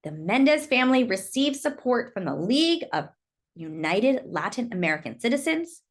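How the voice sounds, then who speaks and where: A woman reading a children's book aloud in expressive storytelling narration. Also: fairly high pitch, moderate pace.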